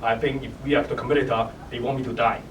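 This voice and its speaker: A man from Hong Kong with a Hong Kong accent, a startup founder answering audience questions in halting, restart-filled English. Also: medium pitch, flat delivery. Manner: halting, many restarts and "you know". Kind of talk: panel Q&A answer. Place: event hall. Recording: echoey hall, distant mic, noisy.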